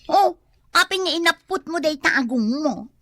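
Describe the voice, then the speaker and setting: A high-voiced, vain young sister. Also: female voice, lively intonation, moderate pace, a bit bright, no room sound. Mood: smug. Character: vain, status-conscious younger sister dodging her debts. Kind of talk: Ilocano radio comedy-drama acting.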